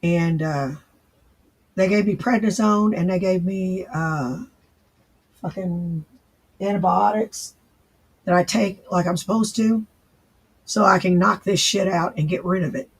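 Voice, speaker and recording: A man with a strikingly high voice, husky and worn from laryngitis. He talks in a loose, rambling, folksy way, swearing freely as he chats to the camera. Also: unhurried, pauses often, low-quality recording. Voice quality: raspy. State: recovering from laryngitis, hoarse. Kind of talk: casual vlog reply.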